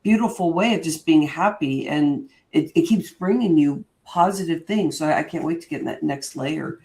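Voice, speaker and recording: A woman in her fifties hosting a panel, enthusiastic but halting, with many fillers. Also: deep voice, a little mellow. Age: 50s. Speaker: host.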